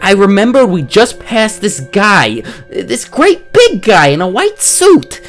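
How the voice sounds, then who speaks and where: A woman's voice: mid-range voice, very animated, slow. A woman voicing a frightened, flustered female bystander who stammers, in a comic-book dub. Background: faint music.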